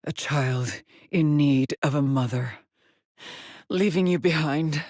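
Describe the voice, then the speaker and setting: Game role: stranded female astronaut, haunted yet resolute, measured slow delivery, clipped formal wording, dry studio sound. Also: deep voice, darkish tone, no echo. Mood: haunted, mournful. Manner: terse, introspective, clipped formal phrasing. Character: haunted, determined female astronaut scout stranded on an alien world. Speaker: video game voice actress. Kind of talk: dramatic video game monologue and log entries.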